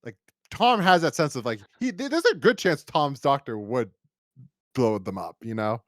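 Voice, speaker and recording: Animated man chatting on a podcast. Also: mid-range voice, moderate pace, no echo, clean studio sound. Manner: animated, rambling, acts out imagined lines. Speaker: podcast co-host. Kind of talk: casual review discussion.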